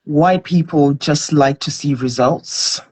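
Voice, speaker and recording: A woman's voice: low-pitched, takes it slowly, darkish tone, husky. A woman from Zimbabwe, a guest in a conversational interview, explaining earnestly with everyday examples.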